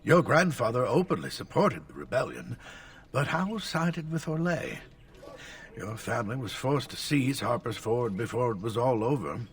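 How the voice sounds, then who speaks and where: An actor playing an elderly scholarly tutor in a video game, lecturing formally and at length, stern and easily exasperated. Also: male voice, mid-range voice, lively intonation, normal speed, far from the mic, lightly acted.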